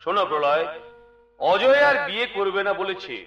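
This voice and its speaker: A man's voice: high voice, expressive, moderate pace, recorded from a distance, lo-fi sound. A man playing a proud, stern older father-in-law in melodramatic folk theatre, declaiming and repeating words for weight. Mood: shocked. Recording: echoey stage recording, off the mic. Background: faint music.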